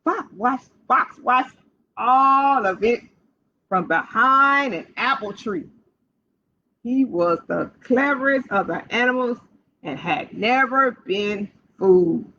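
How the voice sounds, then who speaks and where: A woman's voice: on the high side, slow pace, slight background noise. A woman hosting a children's storytime, reading aloud in a playful way and putting questions straight to young listeners. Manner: talks directly to child listeners, asking questions; animated, playful. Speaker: library storytime host. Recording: dull lo-fi livestream audio.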